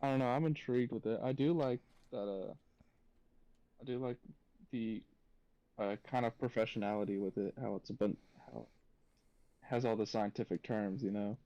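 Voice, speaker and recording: A man co-hosting a reaction podcast, chatting casually and thinking out loud in a tentative, musing way. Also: lowish voice, normal speed, long pauses, no room sound, dull audio.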